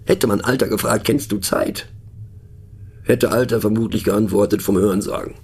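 An actor playing a middle-aged, pensive and mocking narrator in a radio play monologue, talking haltingly and wryly as if to a listener. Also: male voice, lowish voice, fairly even intonation, darkish tone. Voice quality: husky, gravelly. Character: musing, mocking male narrator pondering abstract words.

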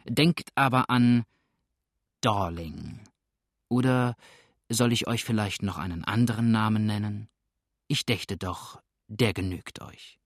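A man's voice: lowish voice, slow pace, pauses often, dry recording, clean studio sound. A man voicing a hunted, ruthless outlaw in an audiobook, grim and threatening, with a sneering edge. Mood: cold menace. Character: hunted, ruthless male fugitive outlaw, exhausted and menacing. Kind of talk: audiobook character acting.

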